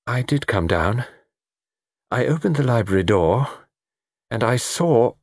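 A man voicing an anguished, refined upper-class lady in a storybook mystery, speaking haltingly. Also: mid-range voice, animated, darkish tone, no room sound, clean studio sound, pitched above the performer's own voice. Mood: distraught. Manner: halting, breaking off mid-phrase.

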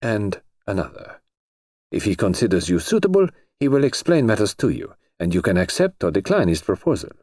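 A man voicing a brisk, mysterious foreign gentleman who speaks in crisp, clipped, formal phrases. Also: fairly low pitch, very animated, no echo, clean studio sound, heavily acted.

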